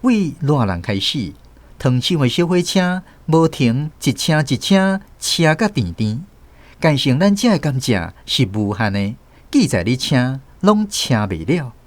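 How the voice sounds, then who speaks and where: Animated Taiwanese male storyteller. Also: mid-range voice, measured pace, no echo. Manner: expressive storytelling. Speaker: narrator and host. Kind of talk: scripted radio novel narration.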